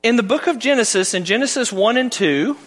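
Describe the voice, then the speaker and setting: A higher-pitched man with a Southern accent preaches a Sunday sermon. His delivery is folksy and conversational, climbing into emphatic stretches. The voice rings out with a long church-hall reverb. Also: expressive, brisk, a bit bright. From Tennessee. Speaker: guest preacher, Bible department head. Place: church sanctuary.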